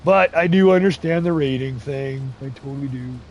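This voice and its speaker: A man's voice: mid-range voice, normal speed, a little mellow, muffled recording. A man hosting a casual farming game livestream, chatting and laughing often as he reads chat aloud. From English, Irish, Scottish, Ukrainian and Romanian heritage. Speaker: livestream host.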